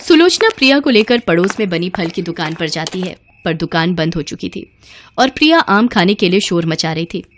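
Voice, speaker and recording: A woman's voice: fairly low pitch, expressive, normal speed, a little hiss or hum. A woman narrating a scripted moral tale as a storyteller.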